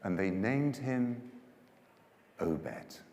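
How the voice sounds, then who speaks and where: A man performing a dramatised scripture narration in a theatrical, declamatory manner with deliberate pauses, live in a large church hall. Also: on the low side, very animated, creaky, a little mellow. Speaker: Bible storyteller performer. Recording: echoey church hall with long reverb.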